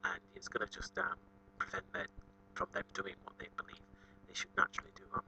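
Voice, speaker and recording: A man interviewing on live radio, halting and repeating his words as he frames his questions. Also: on the high side, big pitch swings, gravelly, pushed and bright, in a whisper. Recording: dull, distant lo-fi radio audio.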